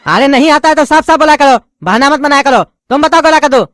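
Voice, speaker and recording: A woman's voice: high-pitched, fast, dry recording, studio quality, lightly acted. A woman voicing an impatient male teacher who scolds and mocks his students, with a lilt. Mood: scolding. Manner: scolding, sarcastic.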